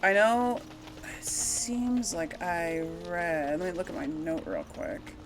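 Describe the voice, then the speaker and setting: Slow-paced woman thinking aloud while gaming. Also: fairly low pitch, expressive, slight background noise, low-quality recording. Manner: absent-minded, thinking aloud. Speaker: gamer hosting a role-play playthrough series. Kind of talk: casual rambling game commentary.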